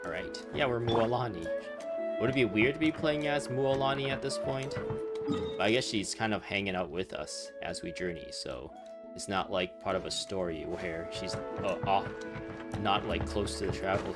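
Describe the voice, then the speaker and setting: A man's voice: lowish voice, normal speed. A man hosting a casual game playthrough, rambling off into joking asides. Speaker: gaming video host. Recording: game music behind the voice.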